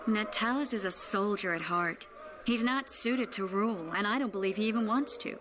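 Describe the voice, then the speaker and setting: An actress playing a politically shrewd woman of the court, measured and wry, in a video game. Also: mid-range voice, fairly bright tone, a little hiss or hum, lightly acted. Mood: explanatory. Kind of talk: video game cutscene acting.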